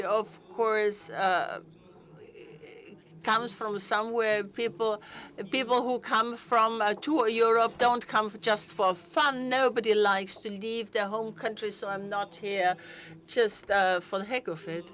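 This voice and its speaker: A woman interpreting an interview into English on a conference stage, halting, with frequent fillers and restarts. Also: mid-range voice, steady tone, a bit bright. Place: conference hall.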